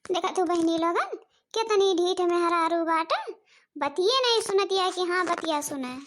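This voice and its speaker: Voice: extremely high pitch, normal speed, a bit bright, a little hiss or hum. A cartoon performer voicing a bossy, complaining village mother-in-law with an Awadhi accent and a childlike voice, scolding and grumbling. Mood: indignant. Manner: scolding, grumbling, addresses viewers directly. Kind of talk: exaggerated cartoon comedy dialogue.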